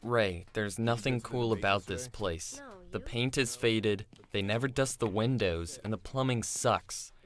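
A man playing a grumpy, pessimistic high school boy in an audio drama, dry and curt. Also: a little slow, a bit bright. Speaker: voice actor.

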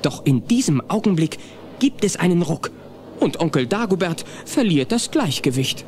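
A man narrating a scripted children's audio play as an animated storyteller. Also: a little high, moderate pace. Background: music.

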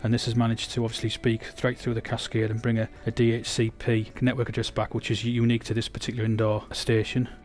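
A man's voice: mid-range voice, monotone, fast talker, hardly any pauses. An English man giving a technical product demonstration, explaining steadily with frequent restarts.

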